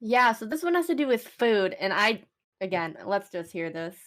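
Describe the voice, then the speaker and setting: A woman's voice: medium pitch, big pitch swings, moderate pace, fairly bright tone. A woman co-hosting a casual podcast, chatty and giggly as she tells little stories. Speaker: podcast co-host. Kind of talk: casual chat.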